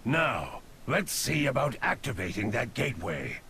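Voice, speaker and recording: Elf demon hunter commander, video game. Slow, forceful, gravelly, faint noise behind. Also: male voice, medium pitch, very animated, heavily acted. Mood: commanding. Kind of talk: video game character dialogue.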